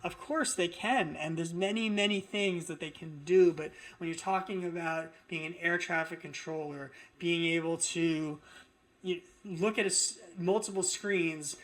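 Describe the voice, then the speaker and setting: A man's voice: sits high, steady tone, measured pace, fairly bright tone. A man giving opinionated, off-the-cuff political commentary as a host, speaking indignantly and emphatically and often repeating his phrases for stress.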